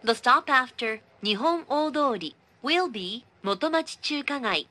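A woman delivering a formal, scripted train announcement, measured and clearly enunciated. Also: high-pitched, lively intonation, bright, forceful tone. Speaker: English-language train announcer.

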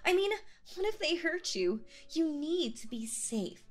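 Casting: female actor for obsessive young girlfriend in roleplay audio, sweet yet jealous, stammering, very animated pitch, unhurried pace, music underneath. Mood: nervous, sweet. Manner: flustered, stammering, nervous giggles, swinging to jealous. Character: sweet, possessive, obsessive young woman on a date. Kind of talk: roleplay audio acting, intimate one-sided dialogue.

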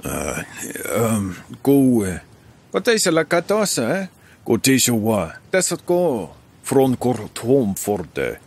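An actor playing a wary nomad man who speaks a strange made-up language, with a halting, hesitant delivery. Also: mid-range voice, huge pitch swings, creaky, darkish tone, slightly rough, heavily acted. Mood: wary, questioning. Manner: halting foreign-sounding gibberish, hesitant.

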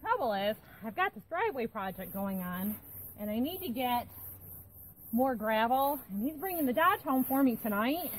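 A woman's voice: fairly high pitch, big pitch swings, normal speed, a little hiss or hum, poor audio. A woman in her forties talking casually while she works on a farm, thinking aloud as she goes, recorded outdoors by a camera nearby. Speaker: homesteading channel host. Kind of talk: casual talk while working. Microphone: camera on a tripod nearby.

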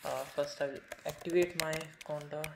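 Indian man explaining code in a flat voice. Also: a little high, normal speed, gravelly, heavy background noise. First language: Hindi. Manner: plain, explanatory, frequent uh fillers. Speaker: programming tutor. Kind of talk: screen-recorded coding walkthrough.

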